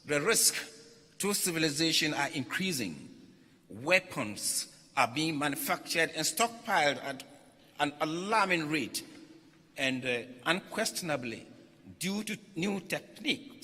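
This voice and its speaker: A religious leader, a man, delivers a formal written address. His voice sits a little high and is strikingly bright and forceful. He phrases deliberately, pausing between short groups of words, and the sound rings through a reverberant hall. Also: breathy edge. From Ghana. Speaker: religious leader reading a message at a conference. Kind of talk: formal speech read aloud. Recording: echoey hall with long reverb.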